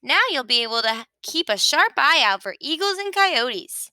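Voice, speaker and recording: Woman voicing a bubbly, high-pitched, cocksure storybook sheep. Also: big pitch swings, normal speed, bright, forceful tone, no echo, heavily acted. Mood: breezy, bossy. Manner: breezy, self-assured, exclaiming. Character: bubbly, cocksure female sheep who loves dancing. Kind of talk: children's picture book read-aloud.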